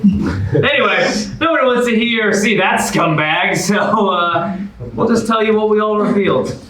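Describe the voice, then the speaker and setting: A man's voice: high-pitched, normal speed, fairly bright tone, a little hiss or hum. A man playing an upbeat, sassy narrator in a staged musical comedy reading, talking and singing. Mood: teasing, brisk. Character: Oliver, upbeat sassy man, contest survivor turned narrator. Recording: distant echoey stage recording with music.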